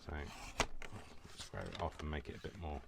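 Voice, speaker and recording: A man from the UK, slightly hoarse from allergies, rambling and stumbling over his words as he explains a craft step by step. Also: very deep voice, steady tone, normal speed, heavy background noise. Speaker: crafting tutorial host. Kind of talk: casual craft tutorial.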